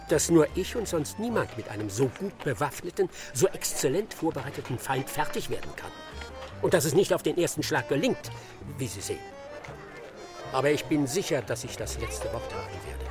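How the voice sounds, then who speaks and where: An actor playing a self-assured, theatrical master detective in a radio play, declaiming pompously and excitably with little care for others. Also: male voice, on the high side, moderate pace, music in the background. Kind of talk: German radio play acting.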